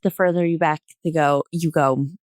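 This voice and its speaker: A woman's voice: low-pitched, steady tone, moderate pace, a little mellow, no room sound, studio quality. A woman speaking as a podcast guest, thinking aloud hesitantly in a casual discussion.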